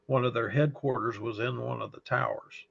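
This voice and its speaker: A middle-aged man with a slight Texas accent, a fire service chaplain recounting memories in an interview, unhurried and halting with frequent pauses and fillers. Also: mid-range voice, fairly even intonation, vocal fry, fairly bright tone, husky. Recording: dull lo-fi audio.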